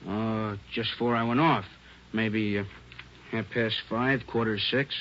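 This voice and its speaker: An American actor playing a hesitant, cooperative freight checker, plain-spoken and halting, in a 1950s radio crime drama. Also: male voice, on the low side, steady tone, fairly bright tone. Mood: cooperative, uneasy. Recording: dull, noisy old radio recording.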